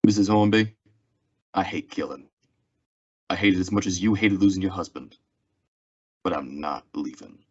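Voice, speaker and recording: A man playing a weary, steady frontier marshal in an old-time radio western, terse, polite and restrained. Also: deep voice, normal speed, pauses often, breathy edge. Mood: grim, firm. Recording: dull lo-fi radio drama recording.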